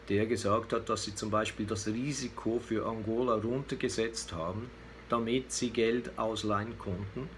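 A man in his fifties giving unscripted political commentary to camera, hesitant and searching for words. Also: on the low side. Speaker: vlogger giving a weekly news commentary. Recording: clear background noise, room ambience.

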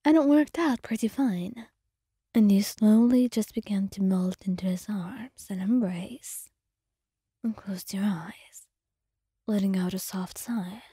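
A woman narrating a second-person story in a soft, soothing whisper. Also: medium pitch, slow pace, slightly rough, dry recording, clean studio sound.

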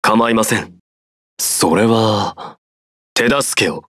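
A melancholy, reserved mage with a very low, husky voice. He speaks in a polite, subdued way. The recording is close and dry, with no room sound. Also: measured pace, fairly bright tone, no room sound. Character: calm, melancholy male scholar-mage, reserved. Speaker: game character. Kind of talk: game voice clips.

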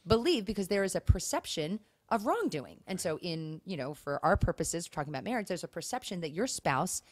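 A woman with a low voice that rises and falls animatedly as she co-hosts a podcast. She talks casually but earnestly, stressing her points and posing rhetorical questions. The sound is dry and close. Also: big pitch swings, a little fast, dry recording. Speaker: podcast co-host. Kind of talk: casual conversational talk on marriage and faith.

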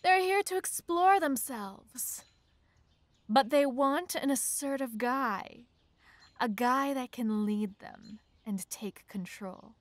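Woman playing a slow-talking, teasing college bully girl. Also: high-pitched, dry recording. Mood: bossy, teasing. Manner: slow, taunting, breathy teasing delivery. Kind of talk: ASMR roleplay.

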